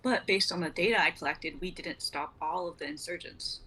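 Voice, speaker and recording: A woman's voice: fairly low pitch, moderate pace, bright, forceful tone, husky, exaggerated voice. A woman playing an earnest, insistent tech officer in a dramatic sci-fi script reading.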